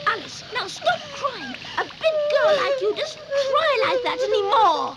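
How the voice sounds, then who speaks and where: A woman's voice: very high-pitched, sing-song, unhurried, noisy background, exaggerated voice. A woman voicing a timid little male rabbit in a cartoon, anxious, childlike and given to pleading and wisecracks. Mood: pleading, panicky. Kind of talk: animated cartoon dub acting.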